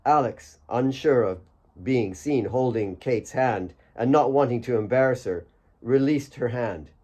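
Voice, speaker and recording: Medium-pitched man narrating an audiobook. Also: measured pace, a little mellow. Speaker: author reading own audiobook. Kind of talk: scripted fiction narration.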